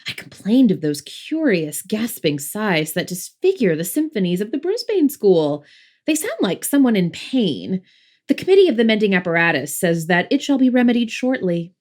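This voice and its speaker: A woman's voice: mid-range voice, sing-song, moderate pace, darkish tone, lightly acted. A woman acting a haughty, petulant mother who complains theatrically in a full-cast audiobook. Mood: aggrieved. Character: haughty, petulant mother devoted to her music.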